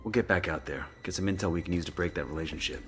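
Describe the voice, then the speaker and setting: A man plays a special-ops soldier with a deep voice and very fast delivery. He is gruff and scheming, clipped and casual, in an action game drama. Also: faint music behind, close to an everyday voice.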